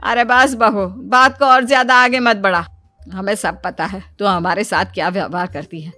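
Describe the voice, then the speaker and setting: A woman's voice: mid-range voice, steady tone, moderate pace, sharp tone, faint music behind. A woman voicing family members in a Hindi cartoon story, speaking in a stern, scolding manner.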